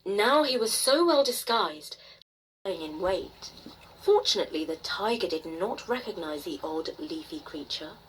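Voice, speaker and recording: A woman storyteller narrating a bedtime tale expressively, with dramatic pauses and emphasis. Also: lowish voice, lively intonation, low-quality recording. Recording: faint noise behind the voice.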